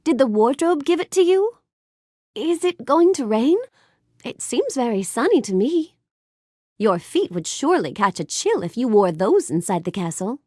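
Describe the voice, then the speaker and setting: A woman's voice: a little high, very animated, normal speed, mellow, no room sound, clean studio sound. A woman voicing a gracious, bookish young storybook heroine in a video game, speaking politely and expressively with careful enunciation. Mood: friendly curiosity. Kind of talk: scripted video game character lines.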